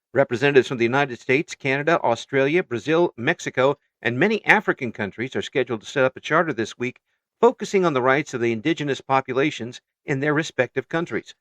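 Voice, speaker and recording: A man playing a radio network news anchor, reading a scripted newscast in a formal, measured announcer's delivery. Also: medium pitch, a bit bright, dry recording, close to an everyday voice.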